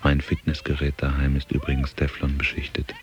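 An actor playing a wry, detached gym observer in a radio drama, speaking deadpan over faint hiss. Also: male voice, extremely low pitch, brisk, faint music behind. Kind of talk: radio drama interior monologue.

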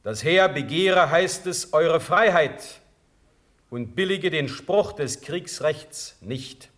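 A man's voice: on the high side, animated, takes it slowly, a bit bright. An actor playing a stern, proud male sovereign in a classical radio drama, with a commanding, formal declamation. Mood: formal, composed.

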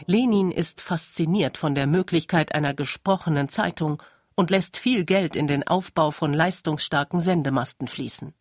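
Narrator with a husky edge, dry sound. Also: medium pitch, normal speed, no echo. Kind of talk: scripted documentary narration.

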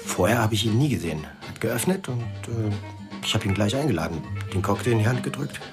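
An actor playing an easygoing, chatty neighbour in a radio drama, recalling things in a casual, halting way. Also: mid-range voice, animated, lightly acted. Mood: fond, casual. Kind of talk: naturalistic radio drama testimony. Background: music.

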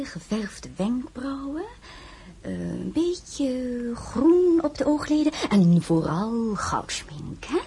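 A worldly French empress of mature years in an audio drama. The voice is very breathy and mellow, with lively swoops in pitch. She is vain, coquettish and shrewd, coaxing in a languid way. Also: heavily acted. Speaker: actress. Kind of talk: acted audio drama dialogue.

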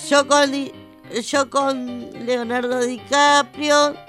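A woman's voice: high voice, flat delivery, takes it slowly, fairly bright tone, faint music behind. A woman with a Rioplatense Argentine accent co-hosting, trading playful lines in a radio sketch. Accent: Rioplatense Argentine Spanish. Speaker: radio co-host.

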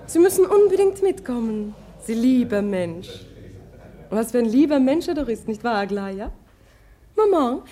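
An actress playing a cheerful, impulsive young daughter who talks eagerly, in 1950s radio play style. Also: female voice, a little high, mellow. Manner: eager, bubbly.

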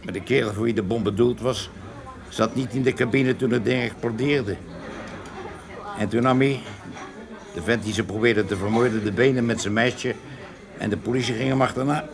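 An actor playing a man who makes deliberately casual small talk to pass on covert information in a radio play. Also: medium pitch, vocal fry, close to an everyday voice. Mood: casual, guarded. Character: man covertly passing messages through loud café small talk. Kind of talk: radio drama dialogue. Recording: radio play with busy chatter behind. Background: café chatter.

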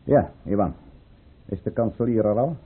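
An actor playing a commanding, guarded count and governor in an old-fashioned radio drama, with a formal, deliberate, urbane delivery. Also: male voice, fairly low pitch, slight background noise, natural voice. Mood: brisk, commanding. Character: commanding, guarded male count and governor, coolly courteous schemer. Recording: muffled, phone-like old radio recording.

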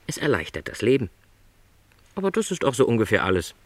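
A man's voice: mid-range voice, huge pitch swings, fairly quick, dry recording. An actor playing a lonely, contented travelling salesman who is no longer young, speaking modestly and politely in a radio play. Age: middle-aged.

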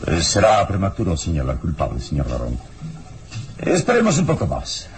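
A man playing a calm, wise, mysterious hero investigator in an old radio serial, speaking deliberately and with solemn suspense. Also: deep voice, heavily acted. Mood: calm, enigmatic. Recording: noisy old radio drama recording.

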